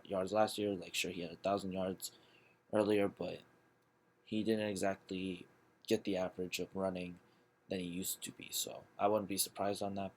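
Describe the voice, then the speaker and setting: A young man hosting a sports channel, talking through team news in a rambling, hesitant way with lots of fillers, his voice a little raspy because he is feeling unwell. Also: low-pitched. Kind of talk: casual sports commentary.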